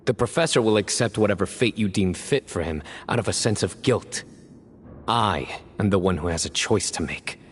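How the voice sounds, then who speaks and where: An actor playing a stern young male judge who speaks in a resolute, measured way, in a game cutscene. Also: fairly low pitch, expressive, a little hiss or hum, lightly acted.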